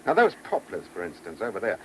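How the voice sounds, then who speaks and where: Casting: British police chief inspector, jocular and assured, very lively intonation, noisy old radio drama sound. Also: male voice, fairly low pitch, fast. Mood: musing. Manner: jocular, assured, gently ribbing. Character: genial, teasing, authoritative married chief inspector. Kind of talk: mid-20th-century British radio play acting.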